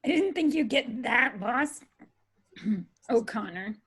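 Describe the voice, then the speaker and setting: A woman's voice: a little high, expressive, takes it slowly, fairly bright tone, breathy edge, heavily acted. A woman playing an argumentative young mine worker in a table read. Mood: snarky. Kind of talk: table read of fan fiction.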